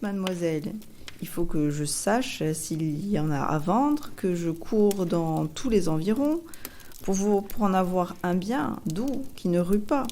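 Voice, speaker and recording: Woman voicing a patient, mellow manservant. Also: fairly low pitch, a little hiss or hum. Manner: patient, respectful, explanatory. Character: good-humoured, careful, obliging manservant.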